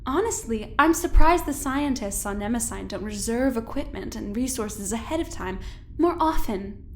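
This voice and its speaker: An actress plays a woman scientist with a high, genteel voice. She is formal and mock-innocent, with a calculating sweetness made to needle. It is audio drama, with slight noise behind the voice. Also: animated, moderate pace, lightly acted. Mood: smug, coy.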